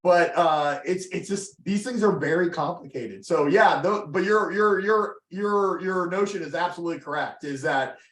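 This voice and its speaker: Animated man in an echoey room. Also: high voice, normal speed, few pauses. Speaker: seminar presenter, disease researcher. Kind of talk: academic discussion. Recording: roomy echo.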